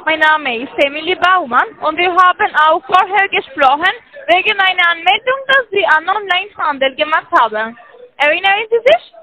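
Very high, bright-voiced woman scam caller. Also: expressive, normal speed, low-quality recording.

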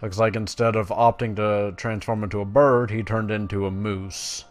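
A man's voice: fairly low pitch, fairly even intonation, normal speed, soft background music. Laid-back man hosting a game video. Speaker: gaming video host. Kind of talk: casual game commentary, reading quest text aloud.

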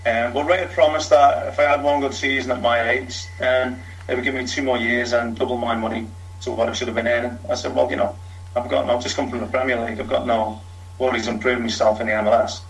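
A man's voice: medium pitch, level tone, fast, vocal fry, fairly bright tone, a little hiss or hum, poor audio. A middle-aged man with a Liverpool accent, a former footballer telling his story frankly in a long interview answer. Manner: frank, talks in long runs with fillers. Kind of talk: candid storytelling.

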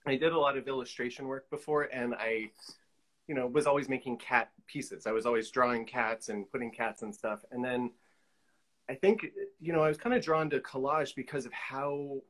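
A man's voice: mid-range voice, moderate pace, a bit bright. A man, chatting casually as an interview guest on a video call. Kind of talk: casual chat about own work. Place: room at home, video call.

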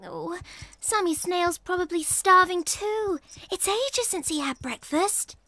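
A woman's voice: extremely high pitch, slow, bright, forceful tone, a little hiss or hum, exaggerated voice. A woman voicing a sweet, fretful, childlike girl rabbit in a preschool cartoon. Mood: plaintive. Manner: plaintive, childlike. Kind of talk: preschool animated show dialogue.

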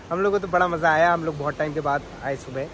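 A man's voice: a little high, moderate pace, a little hiss or hum, rough recording. A young man chatting playfully to a phone camera he holds at arm's length outdoors on a beach at dawn. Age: young adult. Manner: lively, playful, teasing. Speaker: vlogger. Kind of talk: casual vlog chat.

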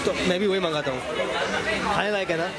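A young man in his twenties, a food vlogger casually chatting and asking quick questions at a street-side eatery. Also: high voice. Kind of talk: casual chat. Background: loud crowd chatter.

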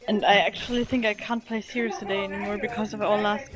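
A man's voice: high-pitched, flat delivery, fast talker, poor audio. A man playfully chatting with friends during an online game. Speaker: teammate on voice chat. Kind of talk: casual gaming chat.

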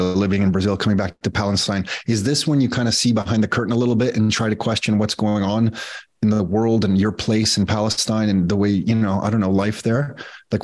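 A man's voice: lowish voice, darkish tone. A middle-aged man hosting a podcast interview over a video call, asking long, rambling questions full of fillers. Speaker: podcast host. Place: home studio office. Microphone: earbud headset on video call.

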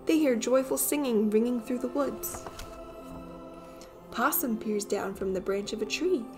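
A woman reading a children's picture book aloud as an expressive storyteller. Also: a little high, measured pace, music in the background.

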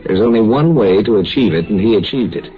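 A man hosting a vintage radio drama, giving measured, explanatory closing commentary. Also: fairly low pitch, animated. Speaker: host. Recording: muffled old radio recording with music.

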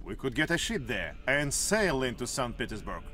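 A man's voice: mid-range voice, big pitch swings, moderate pace, sharp tone. A man playing a proud, imperious monarch in a video game, with a stern, haughty and formal delivery. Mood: resolute. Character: proud, imperious male monarch, the Tsar of Russia. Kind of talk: video game cutscene acting.

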